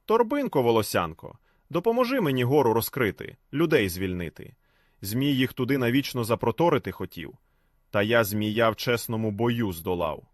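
Man voicing a brave, lively Cossack hero. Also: medium pitch, normal speed, no echo, studio quality, lightly acted. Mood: pleading. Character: brave free Cossack hero, bold and courteous. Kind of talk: folk tale character voice.

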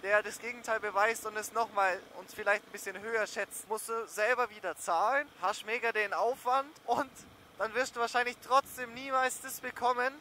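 A man's voice: high voice, fairly even intonation, normal speed, sharp tone. A young man, chatting casually and slangily in a rambling way while riding a motorbike outdoors. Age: young adult. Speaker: motorcycle vlogger. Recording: riding noise, wind and engine. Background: motorcycle engine and road noise.

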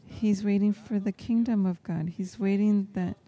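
A woman interprets a homily aloud, phrase by phrase. Her voice is very dark and mellow, at a middling pitch. The delivery halts between short phrases as she translates live. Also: fairly even intonation, moderate pace. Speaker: interpreter voicing a priest's homily in English. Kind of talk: live spoken translation of a sermon.

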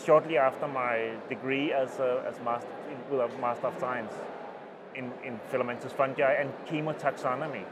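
A middle-aged Danish man with a Danish accent, giving an oral history interview at a conference and talking in halting phrases with many restarts. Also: medium pitch, steady tone, very noisy. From Denmark. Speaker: interviewee, mycologist. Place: small booth at a conference, indoors. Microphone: lapel mic.

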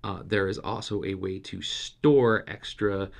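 A man's voice: low voice, fairly even intonation, unhurried, creaky. A man hosting a review channel, talking casually through a product and trying it out. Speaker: host of a radio review channel. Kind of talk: casual product review and field test to camera.

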